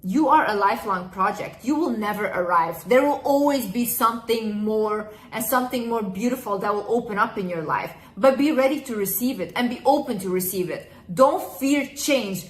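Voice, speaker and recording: Twenty-something girl giving advice to her viewers, kinda high voice, earnest and emphatic, repeats stuff for emphasis, roomy echo. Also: normal speed, few pauses. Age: 20s. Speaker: vlogger. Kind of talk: casual advice vlog.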